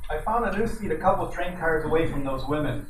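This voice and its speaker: A man's voice: on the high side, animated, fast, lightly acted. Man acting a cocky, flirty detective, noisy echoey recording. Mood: reflective narration. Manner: smooth-talking, wisecracking, slangy. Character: flirty, cocky detective posing as an innocent train passenger. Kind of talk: old-time radio detective drama acting. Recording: roomy, noisy, distant amateur mic.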